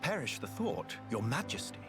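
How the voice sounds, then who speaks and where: A haughty, wheedling ex-guardsman from a fantasy game. His courtly, flowery phrases swing widely in pitch as he flatters, with loud music behind him. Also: moderate pace, creaky. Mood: fawning. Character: obsequious, scheming ex-royal guardsman, silky and haughty. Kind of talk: video game voice acting.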